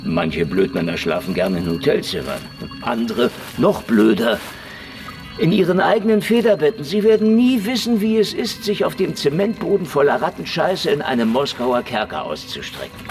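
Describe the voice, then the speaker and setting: A man's voice: a little high, big pitch swings, normal speed, over music. A man playing a sarcastic, defiant prisoner in a German radio play, with a mocking, flippant delivery. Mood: sardonic. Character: sarcastic, defiant male prisoner in a Moscow cell.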